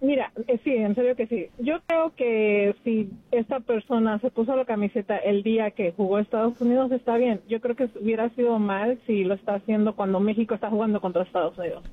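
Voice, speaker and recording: High-voiced mexican lady calling in, phone line sound, talks a lot. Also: brisk. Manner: talkative, storytelling. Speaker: caller. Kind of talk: phone-in chat.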